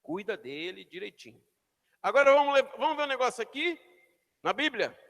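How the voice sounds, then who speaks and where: A pastor preaching a sermon in a church, shouting then dropping to near whispers as he calls the congregation to repeat after him. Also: very high voice, sing-song, a little fast. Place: church hall. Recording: long hall reverb.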